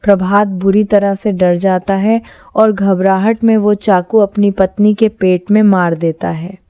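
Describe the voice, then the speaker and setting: Smooth, mellow woman narrating. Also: medium pitch, steady tone, unhurried, no room sound. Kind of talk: scripted horror story narration.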